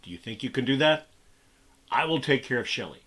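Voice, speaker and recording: A man voicing a calm, commanding ranch hand father who speaks firmly and steadily. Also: a little fast, husky. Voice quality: firm, low and steady.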